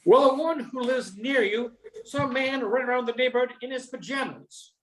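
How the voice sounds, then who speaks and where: Casting: male actor as gruff, impatient-tempered small-town inspector, noir radio comedy. Very high-set, sharp voice. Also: moderate pace, a little hiss or hum, poor audio. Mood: stern, impatient.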